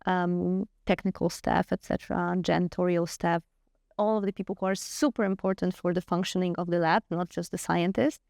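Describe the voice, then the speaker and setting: A woman's voice: fairly low pitch, fairly even intonation, normal speed, gravelly, darkish tone, no echo, clean studio sound. A middle-aged woman from Poland with a Polish accent, speaking earnestly and frankly as an interview guest, often restarting her sentences. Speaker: guest scientist. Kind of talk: conversational interview answers.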